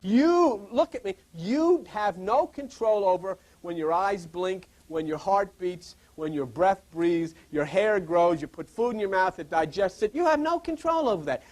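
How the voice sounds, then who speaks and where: A man's voice: high-pitched, a little mellow. A male pastor giving an informal sermon, reading scripture and pressing listeners with rhetorical questions.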